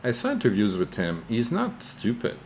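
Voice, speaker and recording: Deep-voiced hesitant man. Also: huge pitch swings, moderate pace, over a noisy crowd. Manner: hesitant, restarts often, tentative. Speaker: co-host. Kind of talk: casual chat on a video call.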